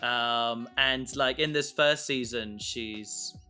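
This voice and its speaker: A man in a casual discussion as a co-host, talking informally and haltingly as he thinks aloud. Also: medium pitch, bright, forceful tone, clean tone. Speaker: co-host, audio drama creator. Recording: music playing underneath.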